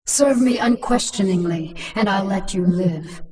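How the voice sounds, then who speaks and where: A woman's voice: mid-range voice, unhurried, darkish tone, far from the mic, natural voice. A woman voicing a ruthless, sardonic alien-hybrid queen in a video game, speaking with mocking, commanding menace. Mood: cold command. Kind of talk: scripted video game voice acting.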